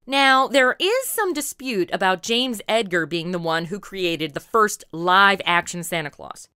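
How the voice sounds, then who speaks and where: A woman's voice: medium pitch, lively intonation, measured pace, bright, forceful tone, smooth, dry recording, studio quality. An American woman with a perky, chatty, slangy manner, hosting a scripted, playful podcast interview. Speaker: podcast host.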